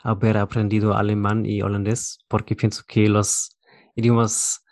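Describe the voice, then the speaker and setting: A woman's voice: very deep voice, little pitch movement, moderate pace, a little mellow, no room sound. A woman, a native German speaker, chatting casually as an interviewer. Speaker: interviewer and conversation partner. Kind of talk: casual bilingual chat.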